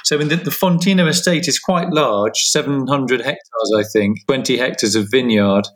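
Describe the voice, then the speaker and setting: A man's voice: mid-range voice, moderate pace. A man hosts an interview podcast with a mid-range voice. He asks questions in an easygoing way, with small laughs between them. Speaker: podcast host.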